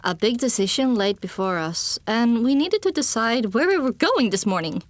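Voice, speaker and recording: A woman narrates a first-person fantasy audiobook. Her voice is fairly high and moves widely in pitch as she tells the story expressively. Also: expressive, normal speed, no room sound.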